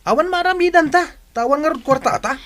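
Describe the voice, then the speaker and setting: A man's voice: extremely high pitch, fairly quick, fairly bright tone. A man acting an exasperated, defensive young jobless husband in a radio drama, with an Ilocano accent.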